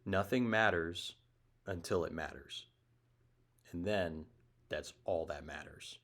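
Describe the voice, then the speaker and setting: A man's voice: low-pitched, slow, a bit bright. A man in his thirties hosting his own show, talking in a casual, rambling, emphatic monologue. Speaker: show host.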